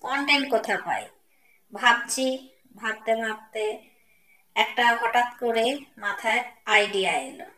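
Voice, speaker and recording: A woman's voice: fairly high pitch, a little slow, pauses often, sharp tone. A woman telling a scripted cartoon story as its narrator. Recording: noisy, roomy, distant microphone.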